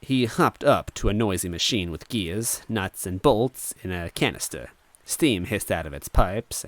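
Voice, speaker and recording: A man's voice: sits low, no echo. A man narrating first-person fiction expressively, in a conversational, wry manner. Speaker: story reader.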